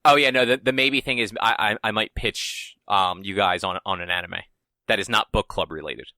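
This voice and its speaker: A man with a low, bright voice talks over a podcast in a relaxed, rambling way and swears now and then. The sound is close and dry, with no room around it. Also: moderate pace, dry recording. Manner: laid-back, rambling, swears casually. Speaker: podcast co-host. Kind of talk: casual chat.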